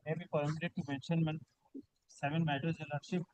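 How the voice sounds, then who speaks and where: Quiet Indian lawyer, quick and monotone. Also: male voice, a little high, no echo. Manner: deferential. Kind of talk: formal courtroom request. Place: courtroom. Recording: quiet, distant, dull courtroom audio.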